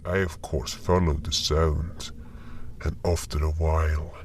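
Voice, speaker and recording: Man playing a slow, low-voiced fantasy hunter. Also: little pitch movement, noisy background. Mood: hushed suspense. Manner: measured, deliberate, pausing mid-sentence. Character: Neart, weary curious male monster hunter telling his tale. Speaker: narrator and character in an audio drama. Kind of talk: slow scripted first-person fantasy narration.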